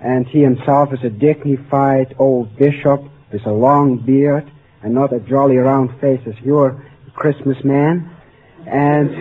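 A man's voice: mid-range voice, narrow, tinny audio. A 24-year-old man from the Netherlands with a Dutch accent, a quiz contestant explaining things earnestly and carefully, on an old radio recording.

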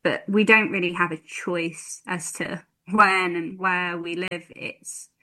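A woman in her twenties speaking as a campaigner on a radio interview. Her voice sits a little low yet sounds bright. She talks in slow, measured phrases, often pausing on um. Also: steady tone. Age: 20s. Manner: thoughtful, many ums, slow measured phrasing. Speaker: campaigner and guest.

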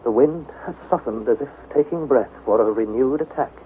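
A man's voice: medium pitch, a little fast, close to an everyday voice. A man playing an anxious young nephew who narrates a perilous sea voyage as a dramatised journal, in a theatrical, intense manner. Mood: uneasy, hushed. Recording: tinny narrow band, heavy background noise.

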